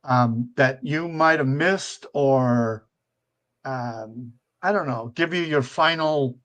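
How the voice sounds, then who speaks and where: A man's voice: fairly high pitch, a bit bright. A man in his seventies hosting a casual live-stream talk show, rambling with many fillers and restarts. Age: 70s.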